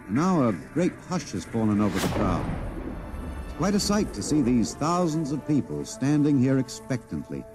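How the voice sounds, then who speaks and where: An actor playing an excitable radio announcer reporting live on a parade, with formal showman's address, in an old-time broadcast style. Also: medium pitch, close to an everyday voice. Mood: hushed, grave. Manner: hesitant filler words, formal showman's address. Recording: old radio broadcast, music and noise behind. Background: music.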